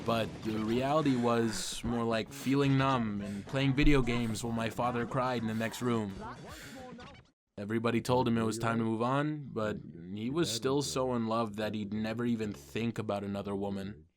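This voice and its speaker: A man's voice: medium pitch, fairly even intonation, normal speed, crowd noise, lightly acted. A man playing a wry, self-deprecating ordinary teenage boy who narrates his superhero story in a comic dub, confiding and sardonic.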